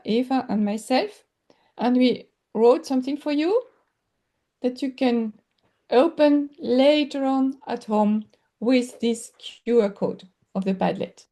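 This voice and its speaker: A woman with a French accent lecturing in English over a video call, pausing often and searching for words with many fillers. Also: on the high side, mellow. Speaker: workshop lecturer. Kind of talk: online teaching session.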